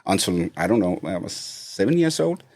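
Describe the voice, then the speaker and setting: A man in his fifties from Denmark with a Danish accent, a podcast guest talking casually with many pauses and restarts. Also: low-pitched, big pitch swings, gravelly, darkish tone. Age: 50s. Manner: hesitant, many fillers and restarts, laughs easily. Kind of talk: casual interview chat.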